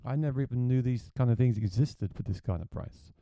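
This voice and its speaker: A man with a deep voice, a gigging musician, chatting plainly through a gear review. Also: brisk, dark tone, no echo, highs cut off.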